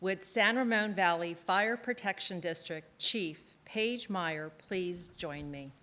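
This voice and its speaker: A woman's voice: lowish voice, slow pace, noisy background. A woman on the town council delivering an earnest, emphatic prepared speech from a theatre stage at an awards ceremony.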